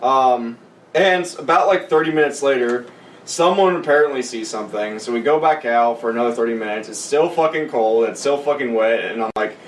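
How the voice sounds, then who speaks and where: A man's voice: medium pitch, fairly quick. A man casually telling a sweary, rambling story among friends, mimicking other people's dialogue as he goes. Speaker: podcast co-host and army veteran telling a story. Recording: roomy echo, distant mic, faint noise.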